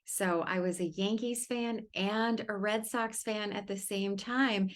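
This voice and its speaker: A woman in her fifties from Connecticut, a wealth consultant talking about herself as a podcast guest, chatty and quick to laugh. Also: mid-range voice, fairly even intonation. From Connecticut, New England. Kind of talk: casual interview chat.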